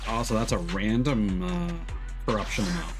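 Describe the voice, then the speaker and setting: A man's voice: fairly low pitch, animated, moderate pace. A man livestreaming a video game, thinking aloud in casual, murmured commentary. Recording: loud game music behind voice. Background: video game music.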